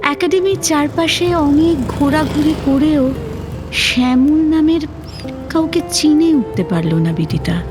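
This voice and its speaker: A woman reading scripted dramatic story narration in a measured way, with pauses. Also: high-pitched, expressive, music in the background. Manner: measured, pausing.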